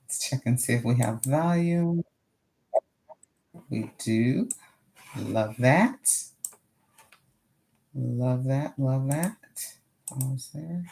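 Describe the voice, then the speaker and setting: A middle-aged woman with a hoarse voice, hosting a casual crafting livestream and chatting to viewers as she works. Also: very deep voice, extremely slow, long pauses, a little hiss or hum. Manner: chatty, greets viewers warmly and often. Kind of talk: casual live craft chat.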